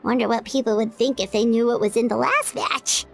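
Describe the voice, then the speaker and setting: A woman's voice: on the high side, steady tone, fairly quick, vocal fry, soft background music. A woman voicing a small, bubbly female fairy companion in a game, who talks about herself in the third person. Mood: chirpy. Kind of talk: game cutscene voice acting.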